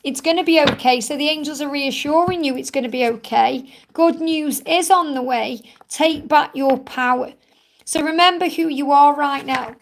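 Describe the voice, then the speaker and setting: Croaky woman doing a live card reading, gravelly and high, calm and reassuring, says things twice. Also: moderate pace. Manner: soothing and reassuring, repeats phrases often. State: croaky, tired voice from much talking. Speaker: tarot card reader and live-stream host.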